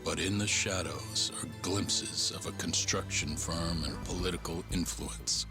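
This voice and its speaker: An actor narrating a crime saga as a dramatic, grave recap. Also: male voice, deep voice, fairly even intonation, measured pace, over music. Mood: grave, ominous.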